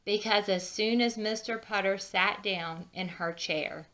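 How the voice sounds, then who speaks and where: A woman reading a children's storybook aloud with expressive, drawn-out emphasis and chatty asides. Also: mid-range voice. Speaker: children's book read-aloud narrator.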